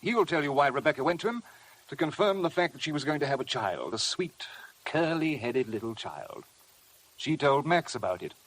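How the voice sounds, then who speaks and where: A man playing a sly, insinuating blackmailer in 1950s radio drama, smooth, mock-polite and taunting. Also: a little high, sing-song, normal speed, a bit bright, exaggerated voice. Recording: noisy vintage radio broadcast recording.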